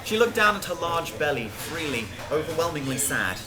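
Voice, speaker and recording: A woman's voice: low voice, big pitch swings, normal speed, rough recording. A woman reading a scripted story aloud as a narrator, with music behind her. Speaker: reader.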